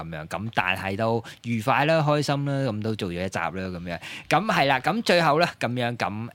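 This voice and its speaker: A man hosts a relaxed podcast conversation. His voice sits fairly low and he talks quickly, padding his sentences with fillers. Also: no echo. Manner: relaxed, many fillers, wraps up the show. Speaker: podcast host. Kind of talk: casual chat.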